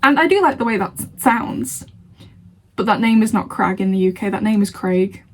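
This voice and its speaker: A woman's voice: mid-range voice, normal speed. A young woman from Lancashire with a Lancashire accent, chatting casually as a reaction vlogger, laughing often and sounding playfully indignant. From Lancashire, England. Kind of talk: casual reaction chat to camera.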